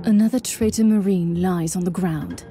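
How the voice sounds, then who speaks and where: Actress doing a zealous lady inquisitor in battle, solemn and commanding, loud game music behind her. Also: lowish voice, normal speed, lightly acted. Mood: grave, focused. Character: zealous, stern female warrior inquisitor in battle. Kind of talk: scripted video game acting.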